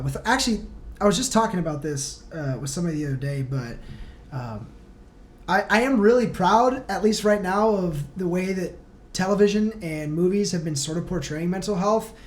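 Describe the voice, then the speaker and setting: A man's voice: on the high side, fairly quick. A man chatting casually and earnestly as a podcast guest, speaking emphatically and informally. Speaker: band singer, podcast guest. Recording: faint background noise.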